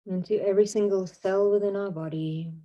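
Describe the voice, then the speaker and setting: A woman guiding a meditation in a soothing, unhurried manner, speaking in short phrases with long pauses. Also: medium pitch, steady tone, dark tone, highs cut off. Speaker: meditation guide. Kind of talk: guided meditation narration.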